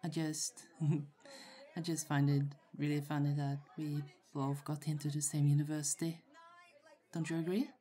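A young woman playing a shy, friendly college student in an ASMR roleplay, speaking in a hesitant, halting, giggly way. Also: low voice, little pitch movement, warm tone. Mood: friendly, flustered. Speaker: voice actor in roleplay audio. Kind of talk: ASMR roleplay, acted casual conversation. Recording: faint crowd chatter behind. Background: party crowd murmur.

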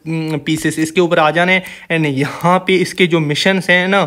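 A man's voice: fairly high pitch, steady tone, moderate pace, a bit bright. A man with a Pakistani accent giving a casual, informal voice-over walkthrough as a gaming channel host, chatting to viewers like brothers.

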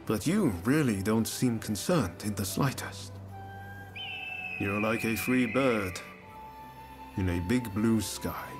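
An actor playing an upbeat, idealistic young ronin in a video game cutscene, casual, earnest and encouraging. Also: male voice, lowish voice, animated, slow pace, long pauses. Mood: warm, hopeful. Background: game music.

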